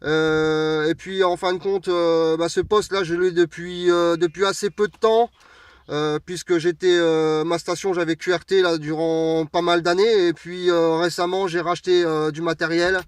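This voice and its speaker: A man from France with a fairly high voice, picked up a little way from the mic in a dry space. He chats casually over amateur radio, hesitating and dropping in radio jargon. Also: level tone, moderate pace, clear, resonant tone, no room sound. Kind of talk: casual radio contact chat. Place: parked vehicle outdoors on an open plateau. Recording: distant mic, recorded away from the speaker.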